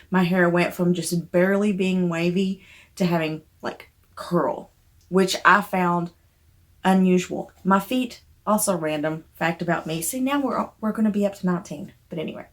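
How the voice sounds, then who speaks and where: A Southern woman in her thirties talks straight to the camera in a loose, rambling vlog style, with a fairly low-pitched voice. A slight difficulty with the letter R surfaces now and then, and she comes across candid and a little self-deprecating. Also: fairly even intonation, moderate pace. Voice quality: mild trouble saying R. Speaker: novelist vlogger. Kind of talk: casual talk to camera.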